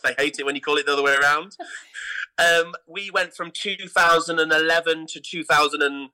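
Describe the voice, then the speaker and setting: Bright, high-voiced English man telling stories. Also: fairly even intonation, fairly quick, rough recording. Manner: emphatic, repeats words for emphasis. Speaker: theatre company artistic director, interview guest. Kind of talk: animated anecdotal interview talk.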